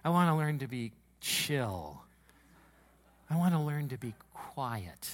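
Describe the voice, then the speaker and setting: A man preaching a sermon to his church congregation, with a booming voice and an emotional, excitable delivery. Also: slow. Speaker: pastor. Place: church sanctuary.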